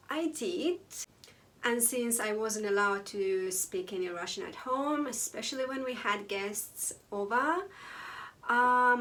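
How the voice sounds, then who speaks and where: A young woman from Azerbaijan, telling her own story to camera in a casual, playful vlog, sometimes breaking into high exclamations or mock whispers. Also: fairly bright tone. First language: Azeri. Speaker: vlogger.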